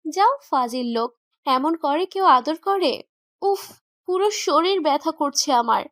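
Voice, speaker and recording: A woman's voice: high voice, lively intonation, a little slow, fairly bright tone, dry recording, studio quality. A woman playing a childish young woman in love, whining, sulky and pleading, in a romantic audio story. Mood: sulky, pouting. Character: young woman in love, childish, pouting, whiny wife or girlfriend.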